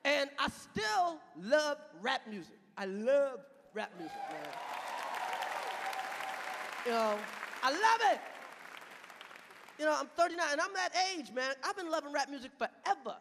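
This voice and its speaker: A man in his thirties doing stand-up on a big stage, his voice high and gravelly as he shouts punchlines into a handheld mic. The sound is a live hall recording with a long reverb tail, and a loud crowd and noise sit behind him. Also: sing-song, measured pace, pauses often. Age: 30s. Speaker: stand-up comedian. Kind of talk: stand-up comedy set. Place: large venue on stage.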